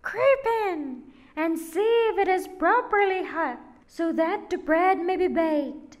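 A woman voicing a wicked, spiteful old witch in a storybook reading, with a shrill, loud and bossy way of talking. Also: lively intonation, takes it slowly, full character voice. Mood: scolding.

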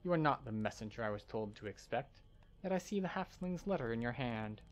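A man voicing a suspicious, commanding drow elf leader from a fantasy game, stern and deliberate. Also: medium pitch, animated, close to an everyday voice. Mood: suspicious, demanding.

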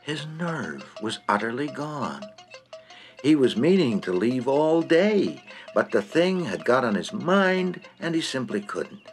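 A man's voice: mid-range voice, sing-song, slow pace, over music. A man narrating a comic short story in a droll, theatrical, precise manner.

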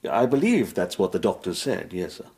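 A low-voiced, deferential servant. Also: male voice, sing-song, moderate pace, creaky, darkish tone, husky, exaggerated voice. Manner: polite, reserved, correct. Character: formal, deferential male English household servant. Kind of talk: British radio drama acting.

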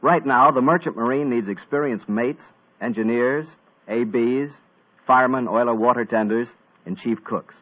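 A young male radio announcer with an upbeat, smooth 1940s commercial delivery. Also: medium pitch, normal speed. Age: young adult. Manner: upbeat, smooth salesman patter. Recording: tinny old radio broadcast.

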